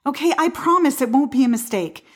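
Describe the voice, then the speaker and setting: A woman's voice: sits high, expressive, brisk. An actress playing a weary, guarded woman who has given up on love, speaking hesitantly in a naturalistic audio drama style. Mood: exasperated, insistent. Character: weary, disillusioned woman who stopped believing in soulmates.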